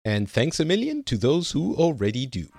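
A man's voice: medium pitch, wildly swooping pitch, moderate pace, creaky, a little mellow, faint music behind. A man from France hosting a podcast in casual chat, rambling with many fillers and restarts. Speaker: podcast host.

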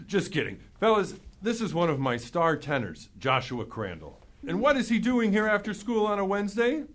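A man's voice: high voice, huge pitch swings, moderate pace, a bit bright, exaggerated voice. A male actor playing a jovial, theatrical choir teacher and barbershop singer, pompous and jokey, in a comic radio drama. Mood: mock-grand, jovial.

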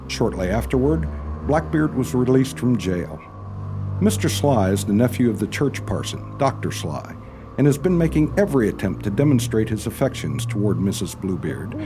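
Radio theatre announcer: man, very varied intonation, fairly low, scripted story recap over music. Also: very animated, normal speed. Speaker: announcer and narrator of a radio play. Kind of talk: scripted dramatic narration.

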